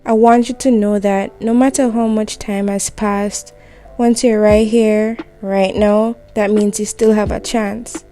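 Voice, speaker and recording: A woman delivers a gentle, reassuring, intimate spoken monologue, speaking directly to the listener like a heartfelt voicemail. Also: medium pitch, little pitch movement, unhurried, faint music behind.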